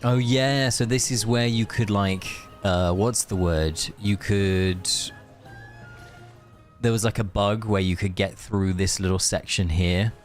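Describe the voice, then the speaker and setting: A man's voice: lowish voice, fairly even intonation, unhurried. A man streaming a game, chatting casually and rambling in friendly asides to his viewers. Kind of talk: casual live gaming stream chat. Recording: music behind the voice.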